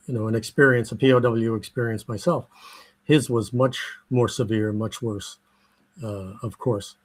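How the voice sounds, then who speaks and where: An older man with an American accent is looking back on his life in an interview. He talks slowly and stops often, filling the gaps with fillers and starting phrases over. His voice sits at an ordinary, middle pitch. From United States. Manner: slow and halting, many fillers and restarts. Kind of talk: oral history interview.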